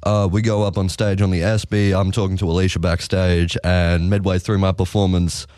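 A teenage boy with a strikingly deep, smooth voice answers questions about his band. He is easygoing and a little hesitant, filling gaps with uhs. The recording is close and dry. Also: level tone, normal speed, a little mellow, no echo. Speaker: guest musician. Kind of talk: casual interview answers.

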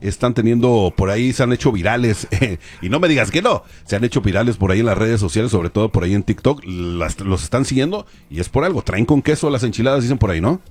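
A man's voice: lowish voice, lively intonation, fast talker, few pauses, a little mellow. A man in his forties hosting a casual, upbeat interview with a band, joking and using slang. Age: 40s. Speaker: radio show host.

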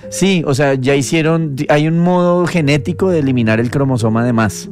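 A man's voice: a little high, brisk, a little mellow, faint music behind. A man hosting a casual chat, rambling with loose slang and restarts.

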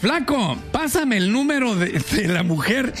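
A man hosting a comedy radio show, animated and joking as he reads listener messages. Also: very high voice, measured pace, soft background music.

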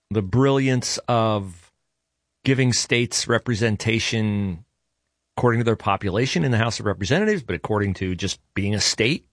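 A man's voice: medium pitch, gravelly, dry recording, studio quality. A man hosting a talk radio show, speaking in a conversational, opinionated monologue with frequent fillers and self-corrections. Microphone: studio mic.

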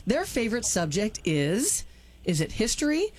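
A woman co-hosting a studio show and running a trivia game, talking with lively banter and laughing often. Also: mid-range voice, normal speed.

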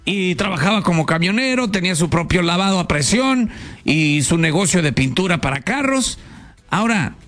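A man's voice: sits high, lively intonation, moderate pace, fairly bright tone, faint music behind. A man with a Mexican accent hosting a casual radio chat, slangy and prone to restarts. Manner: chatty, slangy, trails off and restarts. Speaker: radio host.

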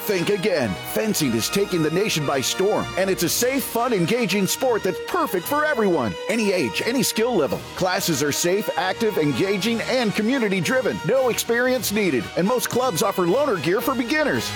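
Peppy high-voiced guy reading an ad, lively, music under it. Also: normal speed, few pauses. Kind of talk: radio ad read. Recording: clear music bed.

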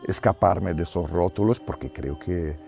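A man in his forties talks through his filmmaking in a reflective, searching way, often checking in with a tag question. His voice is low and mellow, with faint music running underneath. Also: moderate pace, vocal fry. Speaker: film director. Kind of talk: reflective interview talk.

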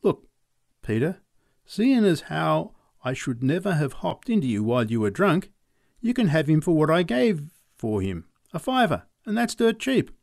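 A man's voice: fairly high pitch, very animated, moderate pace, vocal fry, a little mellow, no room sound, studio quality, pitched above the performer's own voice. A man voicing a cowardly, wheedling farmer in an audiobook. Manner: pleading, wheedling salesman's patter. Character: wary, cowardly man hiding among his cows. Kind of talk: audiobook character voice.

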